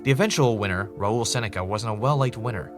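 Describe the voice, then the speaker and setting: A man's voice: fairly low pitch, quick pace, natural voice. Man playing an earnest obsessive fan investigator, music underneath. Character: obsessive, anxious male fan investigating a cancelled show. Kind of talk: fiction podcast narration, conversational.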